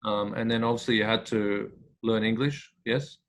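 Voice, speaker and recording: A man's voice: on the low side, fairly even intonation, moderate pace, fairly bright tone. A man hosting a casual interview, asking questions hesitantly with frequent fillers. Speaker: podcast host, interviewer.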